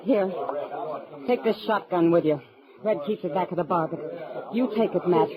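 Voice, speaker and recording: A woman with an American accent playing a caring, worldly saloon woman in a radio western, soft-spoken and frank. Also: fairly low pitch, normal speed, a crowd in the background, natural voice. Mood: anxious urging. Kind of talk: mid-century radio western acting. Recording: muffled old radio recording.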